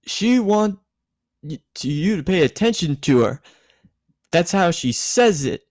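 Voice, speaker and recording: A tipsy, bossy grown woman, acted out by a man, pitched up well above his usual voice in a dry recording. The delivery is rambling and emphatic, like a giggly guardian dispensing advice in a story reading. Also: big pitch swings, a little slow, darkish tone, no echo. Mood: tipsy, insistent.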